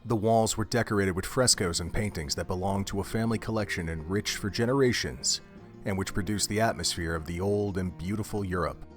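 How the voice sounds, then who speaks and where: A man narrating an audio drama in a steady, descriptive storytelling manner. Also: deep voice, brisk, soft background music.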